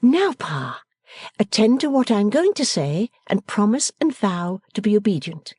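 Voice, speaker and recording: A woman's voice: medium pitch, big pitch swings, normal speed, darkish tone, slightly rough, no room sound, pitched above the performer's own voice. A woman reading the part of a playful, affectionate young woman who teases and orders her father about. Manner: teasing, commanding, merry.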